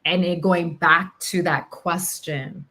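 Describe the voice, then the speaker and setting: A woman's voice: lowish voice, fairly even intonation, takes it slowly, sharp tone. A woman talking reflectively in conversation, hesitant and searching for her words. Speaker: podcast host.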